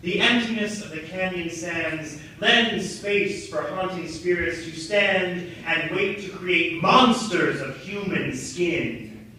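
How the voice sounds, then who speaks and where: Young male monologist, bright intense voice, deliberate and poetic, distant in a reverberant room. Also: high-pitched. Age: young adult. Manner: theatrical, deliberate, poetic delivery. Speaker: monologue performer. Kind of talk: dramatic spoken-word monologue. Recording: very echoey, distant mic, noisy room.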